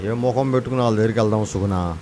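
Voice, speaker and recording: A man's voice: on the low side, very fast, noisy background, natural voice. A man playing a humble older uncle, ashamed and apologetic, speaking sorrowfully in a moral story. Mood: reluctant. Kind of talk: moral story character dialogue.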